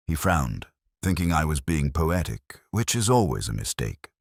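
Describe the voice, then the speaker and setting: A man reading first-person detective fiction in a measured, literary, melancholic and introspective manner. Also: rumbling low, no room sound, clean studio sound. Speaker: narrator of a detective story. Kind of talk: first-person scripted mystery narration.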